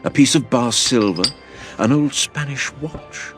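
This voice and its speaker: A man playing a grave narrator who recounts his boyhood adventure in measured, literary storytelling for an audio drama. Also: mid-range voice, over music, close to an everyday voice. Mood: grave, suspenseful.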